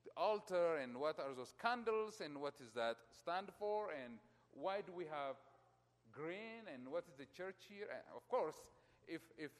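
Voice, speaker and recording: A middle-aged man from Ethiopia preaching a sermon as a pastor. He speaks emphatically to his congregation, his loudness surging and falling. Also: a little high, expressive, unhurried. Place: church. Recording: long church reverb.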